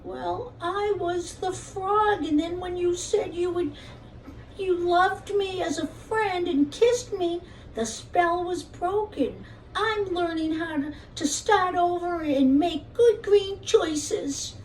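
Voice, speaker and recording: A woman's voice: very high-pitched, takes it slowly, pitched above the performer's own voice, exaggerated voice. A woman voicing an earnest, easily hurt young male frog in a children's puppet show. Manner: plaintive, earnest, simple childlike phrasing. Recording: distant mic with faint background noise.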